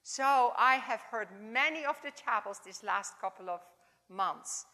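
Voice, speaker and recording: Woman, 70s, Dutch accent, non-native English, halting chapel talk, higher voice, long hall reverb. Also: pushed and bright. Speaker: chapel speaker.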